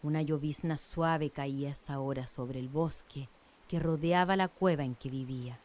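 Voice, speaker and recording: A woman narrating a scripted radio drama in a measured, literary storytelling manner. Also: low-pitched, darkish tone.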